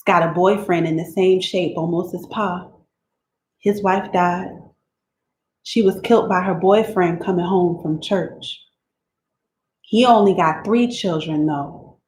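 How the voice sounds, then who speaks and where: A woman voicing a weary, abused teenage country girl who confides in God, speaking in a plain rural dialect, quiet and resigned. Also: on the low side, a little hiss or hum, natural voice. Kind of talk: dramatic reading of letters. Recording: roomy room echo.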